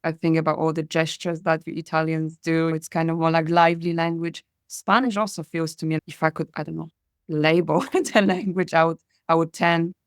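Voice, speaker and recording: A woman's voice: low voice, level tone, moderate pace, smooth, dry recording, clean studio sound. A woman from Poland, hosting a podcast interview and asking long, chatty questions. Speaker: podcast host. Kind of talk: conversational interview.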